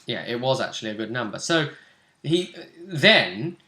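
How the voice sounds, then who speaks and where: A man's voice: medium pitch, big pitch swings, moderate pace, bright, forceful tone. A man casually recapping a tabletop role-play session as its game master, in conversation. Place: indoor room. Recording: roomy echoing home recording.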